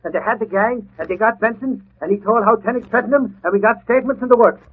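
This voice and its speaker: An actor playing an excitable, slangy, fast-talking newspaper reporter in a 1940s radio drama. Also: male voice, high voice, husky. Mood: breathless, excited. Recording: muffled, scratchy 1940s radio broadcast.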